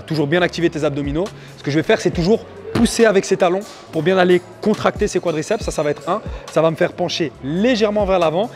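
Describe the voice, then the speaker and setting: Man, slightly high-pitched, teasing workout instructor, informal step-by-step explanations, background music. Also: darkish tone. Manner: playful, teasing, motivational asides. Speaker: fitness coach presenting a workout. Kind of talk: casual exercise tutorial. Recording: music under the voice.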